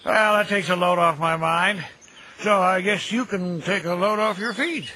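Actor as a high-voiced, folksy old caretaker. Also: male voice, normal speed, noisy background, close to an everyday voice. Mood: genial, chatty. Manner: folksy, chatty, joking. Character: cheerful, folksy older cemetery caretaker, chatty and kindly. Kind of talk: audio drama acting.

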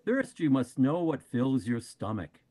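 A man acting a wise, patient panda bear in a play reading, measured and instructive. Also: medium pitch, big pitch swings, a little mellow, husky. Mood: instructing.

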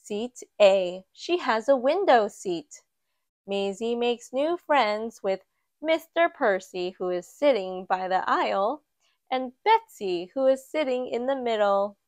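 A woman reads a children's picture book aloud at an unhurried pace, gentle and expressive. Her voice sits a little high, and the recording is close and dry with no room sound. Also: no room sound. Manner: slow, gentle and expressive, lifting for quoted lines. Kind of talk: read-aloud storytime narration. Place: indoors at a table, book filmed from above.

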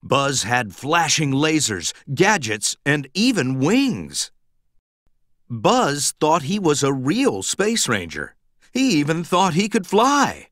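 Guy reading a kids bedtime story, slow and really animated, kinda high voice. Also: dry recording, clean studio sound. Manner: dramatic, emphatic storytelling for young children. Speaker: storybook narrator. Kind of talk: scripted children's storybook read-aloud, expressive.

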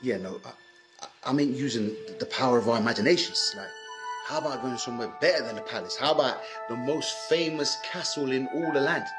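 A man in his thirties playing a chummy, cheeky servant in a comic pantomime, casual and matey with deadpan wonder. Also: medium pitch, brisk, music in the background, lightly acted, poor audio. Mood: encouraging. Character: Buttons, chummy young male servant, cheeky sidekick. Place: small plain room, indoors. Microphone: video call.

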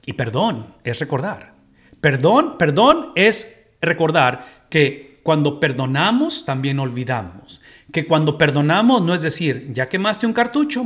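A middle-aged Mexican man with a Mexican Spanish accent, teaching a Bible study from a home room and putting rhetorical questions to his listeners. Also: on the high side. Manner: animated, gestures while teaching, asks the audience rhetorical questions.

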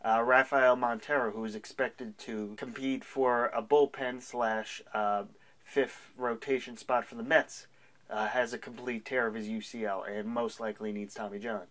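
A man's voice: mid-range voice, fairly even intonation, normal speed, a bit bright. A young man with a stuffy cold, chatting casually to camera as a sports show co-host, with frequent filler sounds. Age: young adult. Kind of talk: casual solo segment to camera. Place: small room at home.

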